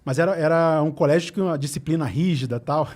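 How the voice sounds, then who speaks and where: Carioca man, slightly high voice, quick and chatty, podcast guest. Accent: Carioca Portuguese. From Rio de Janeiro, Brazil. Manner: fast, animated storytelling with easy laughs. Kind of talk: casual podcast conversation. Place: podcast studio.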